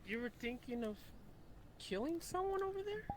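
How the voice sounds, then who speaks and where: A woman's voice: high-pitched, very animated, normal speed. A woman improvising in-character conversation in an online roleplay game. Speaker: roleplay player. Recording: very noisy online voice chat.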